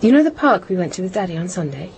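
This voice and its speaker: A woman's voice: lowish voice, sing-song, fast talker, creaky, a little mellow, a little hiss or hum. An actress playing a composed British housewife and mother turned reluctant spy in a radio drama, speaking with control. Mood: patient, firm. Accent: British English.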